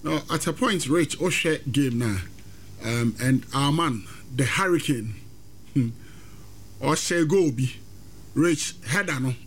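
A man's voice: fairly high pitch, slow pace, pauses often. A man with a Ghanaian accent discussing football, mixing Twi and English. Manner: mixes Twi and English, thoughtful. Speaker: sports pundit. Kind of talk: casual sports chat.

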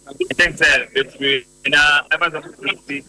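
A man, an elections committee member, giving halting answers in an interview. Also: a little high, fairly even intonation, sharp tone, no room sound, low-quality recording. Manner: halting, searching for words.

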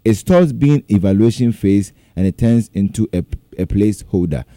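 A man's voice: on the low side, animated, normal speed, dark tone, no room sound. A man with a Ghanaian accent chatting casually as a panellist, mixing languages as he goes. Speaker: talk show panellist. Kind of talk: casual chat mixing English and Twi.

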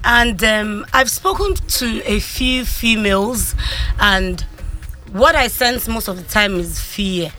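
Bright-voiced Ghanaian woman comedian. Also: mid-range voice, slow pace, faint music behind. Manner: candid, animated, slips into Pidgin. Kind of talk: interview conversation.

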